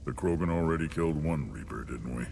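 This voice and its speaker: Deep, gravelly alien warrior chief. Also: male voice, quick pace, darkish tone, slight background noise. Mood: proud, resolute. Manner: blunt, boastful. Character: gruff, battle-proud alien krogan warrior leader. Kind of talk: video game acting.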